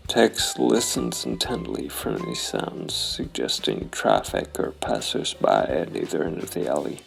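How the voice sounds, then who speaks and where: A man narrating a solo tabletop game and thinking aloud in a thoughtful, gravelly voice. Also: extremely low pitch, sing-song, unhurried, soft background music. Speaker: solo role-playing game player and host.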